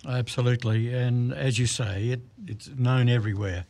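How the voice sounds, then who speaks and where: A man guesting on a radio show talks about his choir. He speaks hesitantly, filling pauses with ums and restarting his sentences. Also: mid-range voice, fairly even intonation, darkish tone. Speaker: choir spokesman.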